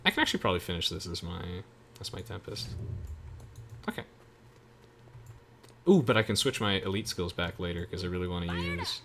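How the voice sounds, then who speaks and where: A man's voice: low-pitched, pushed and bright, a little hiss or hum. A young man giving casual, chatty gameplay commentary, explaining things in informal slang as he hosts a let's play. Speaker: gamer hosting a let's play. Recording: game music behind the voice. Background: video game music.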